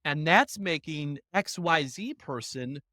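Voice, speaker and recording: An adult interview guest speaking in animated, emphatic bursts. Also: mid-range voice, slow, no room sound, clean studio sound. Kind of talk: conversational interview.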